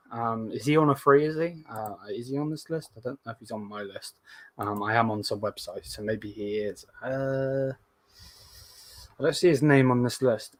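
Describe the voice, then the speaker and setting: A man hosting a casual live stream, slightly hoarse, rambling with lots of hesitant fillers as he reads viewer comments. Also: mid-range voice, a bit bright, no room sound. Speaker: host of a live fan stream.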